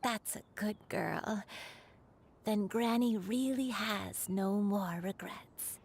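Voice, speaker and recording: A woman's voice: mid-range voice, takes it slowly, a little hiss or hum. A woman voicing a loving old grandmother, a crane spirit in human form, in a tender, soothing game performance. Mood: tender, reassuring. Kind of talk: video game voice acting.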